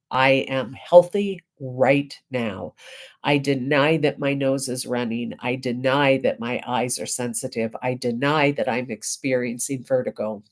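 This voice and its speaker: A woman reverend getting over a head cold, giving a reflective spiritual talk with deliberate, emphatic phrasing and pauses. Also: a bass voice, a little mellow.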